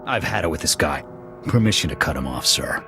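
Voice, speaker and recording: An actor playing a blunt, loyal army sniper officer with clipped military speech in a video game. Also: male voice, rumbling low, lively intonation, a little fast, music in the background. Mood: irritated.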